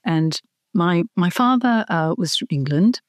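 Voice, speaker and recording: A woman's voice: on the low side, lively intonation, unhurried, no echo, clean studio sound. A middle-aged woman from Hong Kong, a career coach, telling her life story in an interview, earnest and reflective, with small restarts.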